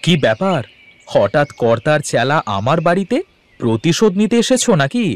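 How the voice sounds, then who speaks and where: A man's voice: fairly high pitch, sing-song, normal speed, a little hiss or hum. A man playing a stubborn, shrewd poor village milk farmer in a Bengali cartoon. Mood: wary, stubborn. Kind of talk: Bengali moral cartoon voice acting.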